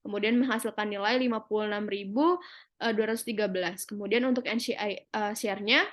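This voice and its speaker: A young woman giving an explanatory class presentation as a student, halting with frequent hesitation pauses. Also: medium pitch. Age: young adult.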